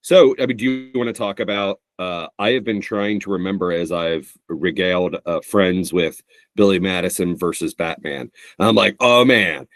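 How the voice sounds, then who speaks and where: Man, middle-aged, low-pitched, sarcastic, swears, dry close podcast mic. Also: moderate pace, no room sound. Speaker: podcast co-host, comedian. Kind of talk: casual chat.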